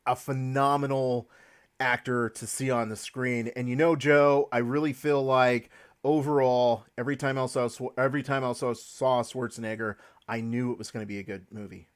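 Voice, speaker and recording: A man's voice: medium pitch, steady tone, moderate pace, clear, resonant tone. A man hosting a casual podcast chat, leading the talk with hedges and restarts. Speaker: podcast host.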